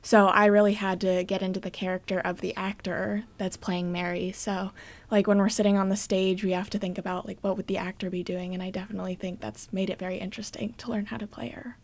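Teenage girl, casual and mid-pitched. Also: flat delivery, quick pace. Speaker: cast member being interviewed. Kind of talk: casual interview answers.

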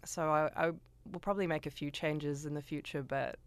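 A woman's voice: deep voice, fairly even intonation, fairly quick, no echo. A woman composer talking thoughtfully in a radio interview, hesitating with frequent ums.

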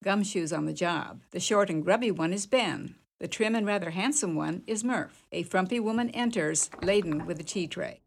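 A woman's voice: fairly low pitch, moderate pace, hardly any pauses. A woman narrator reading the scene descriptions and stage directions of a radio play.

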